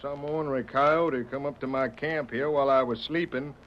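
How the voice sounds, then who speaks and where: A man's voice: mid-range voice, fairly even intonation, moderate pace, close to an everyday voice. A man acting a folksy, indignant lone drifter in an old radio Western, with an American Western drawl and exclamatory talk. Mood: exasperated. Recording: dull, hissy old radio recording.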